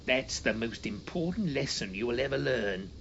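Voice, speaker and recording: A man playing a commanding English gentleman uncle from a comic storybook, brusque and easily exasperated. His delivery is very animated, on a dull recording with some noise. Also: medium pitch, normal speed, natural voice. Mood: gruff consolation.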